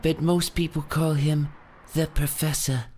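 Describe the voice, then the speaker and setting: A woman's voice: sits low, flat delivery, a little slow, darkish tone, breathy edge. A woman voicing a matter-of-fact client who runs a dairy in a parody noir radio play. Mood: dry.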